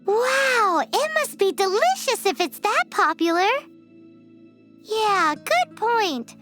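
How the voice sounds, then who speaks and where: A woman's voice: very high-pitched, big pitch swings, slow pace, a bit bright, faint music behind, heavily acted. A woman voicing a cheerful, stubborn, creative teenage girl chef in a video game, bubbly and emphatic. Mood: excited, eager. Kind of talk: animated game voice acting.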